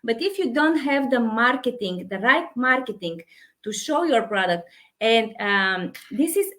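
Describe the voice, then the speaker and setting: A woman with a Hungarian accent who runs an online business, talking in a halting way with many fillers and restarts. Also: fairly high pitch, lively intonation, fairly bright tone. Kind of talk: casual conversation.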